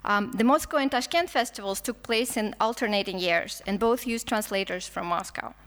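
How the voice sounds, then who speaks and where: A Russian-accented woman delivers a scholarly conference talk at a middling pitch, tripping over words and restarting often. Her voice rings out with the long reverb of a lecture hall. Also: a bit bright. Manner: halting, frequent restarts and fillers. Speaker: film historian lecturer. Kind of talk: academic conference talk, read from notes.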